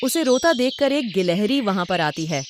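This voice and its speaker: A woman's voice: medium pitch, big pitch swings, moderate pace, noisy background. A woman narrator reading a scripted children's cartoon story.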